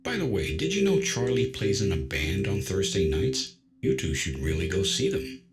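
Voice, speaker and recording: A man's voice: low voice, big pitch swings, moderate pace, loud music behind the voice. A man playing a genial, self-assured artificial intelligence in an audio drama, polite, chatty and matter-of-fact.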